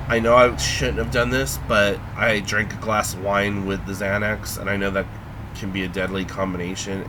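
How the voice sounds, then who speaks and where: A man with a fairly low voice talks unhurriedly through a casual vlog. He stalls on frequent ums and sounds a bit stuffed up. A steady background noise runs under everything. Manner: halting, lots of ums and trailing pauses. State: congested, feeling sick. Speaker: vlogger.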